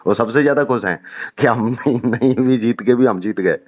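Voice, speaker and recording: A man's voice: medium pitch, normal speed. A man chatting casually about politics over the phone, putting probing questions to the other speaker. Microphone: phone call. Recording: muffled, narrowband phone call recording.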